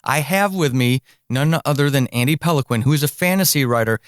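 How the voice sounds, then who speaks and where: A man's voice: medium pitch, brisk, no room sound, clean studio sound. A man hosting a podcast interview, speaking in an effusive, emphatic, enthusiastic way.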